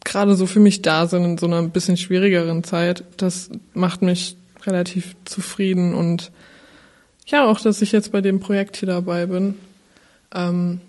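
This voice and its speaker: An eighteen-year-old woman in a casual group chat, talking relaxed and slangy with hesitations. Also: fairly low pitch. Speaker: podcast participant. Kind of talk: casual round-table chat.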